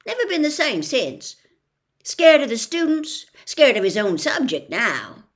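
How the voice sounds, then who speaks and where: A woman's voice: high-pitched, sing-song, a little slow, voice pushed up. A woman voicing a huge friendly man who talks in a blunt, hearty, homely way.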